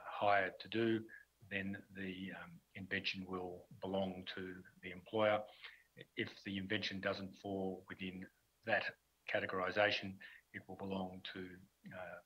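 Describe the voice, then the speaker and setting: A man's voice: deep voice, a bit bright, slight background noise. A man giving a formal answer on a conference panel, hesitant and full of ums and uhs. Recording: dull lo-fi sound.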